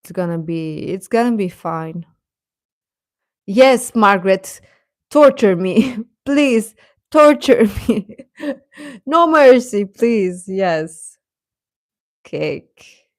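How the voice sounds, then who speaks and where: A woman's voice: medium pitch, expressive, drawn-out words, pauses often, a little mellow, no echo, clean studio sound. A woman chatting casually as a livestream host, talking directly to viewers and often repeating her phrases.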